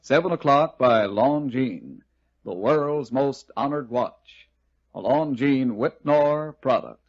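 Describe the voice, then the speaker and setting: Slow, measured male radio announcer. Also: mid-range voice, animated. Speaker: sponsor announcer. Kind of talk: 1940s radio advertisement. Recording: dull vintage radio recording.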